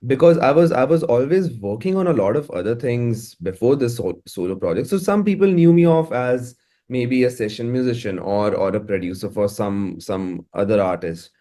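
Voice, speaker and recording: A man talking casually as an interview guest, halting and repeating words as he thinks aloud. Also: mid-range voice, lively intonation, dark tone. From India. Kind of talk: casual, reflective interview talk.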